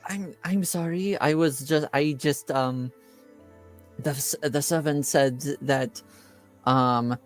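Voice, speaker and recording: A man's voice: a little high, slow pace, soft background music. A man voicing a shy, apologetic young noble girl in a tabletop game, speaking hesitantly with stammers. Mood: flustered, shy.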